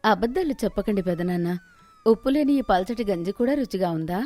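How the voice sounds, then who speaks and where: A woman's voice: medium pitch, animated, fairly quick, dark tone, soft background music, close to an everyday voice. A woman voicing a poor, patient but worried village mother in a storybook, homely and plain-spoken. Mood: modest. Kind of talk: acted moral storybook dialogue.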